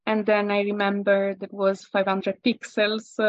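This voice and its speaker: A woman in her thirties with an Italian accent, answering interview questions in halting English with many restarts. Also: mid-range voice, completely flat delivery, no room sound. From Italy. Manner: halting, many restarts and fillers, laughs often. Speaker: guest, landscape photographer.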